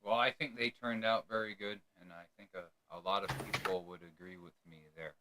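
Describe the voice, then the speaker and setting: A man's voice: on the low side, level tone, fairly quick, pushed and bright, rough recording. A man from New York hosting a casual podcast interview, often stumbling and repeating words as he asks his questions. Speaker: podcast host.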